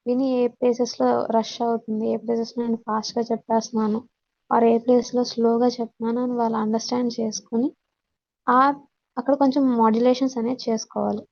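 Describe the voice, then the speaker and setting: A woman teacher with a Telugu Indian accent explains points in a fairly high, very flat voice with a creaky edge. She mixes in English terms and pauses hesitantly. The audio is dull. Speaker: teacher giving a communication skills lesson. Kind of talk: explanatory lecture, reading out points then explaining.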